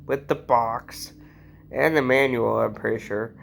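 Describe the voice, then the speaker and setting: A man's voice: medium pitch, fairly even intonation, a little slow, vocal fry. A man with a mid-range voice hosts a casual hobby show-and-tell. He talks in an informal, rambling way, like someone chatting to his regular viewers. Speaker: host showing a radio collection.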